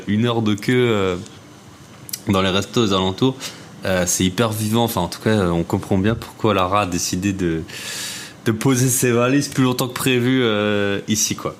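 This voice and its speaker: A man hosts a podcast interview in an apartment, talking loosely and casually. His voice sits on the low side and he fills gaps with hesitations and restarts. A faint background hiss runs under the recording. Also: moderate pace. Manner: loose, many fillers and restarts. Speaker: podcast host. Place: apartment rental abroad.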